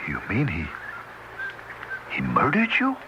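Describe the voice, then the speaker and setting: An actor playing a weary, wry, sympathetic middle-aged commuter in an old-time radio drama, talking conversationally. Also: male voice, on the low side, wildly swooping pitch, slow, dull audio, background noise, full character voice. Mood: concerned.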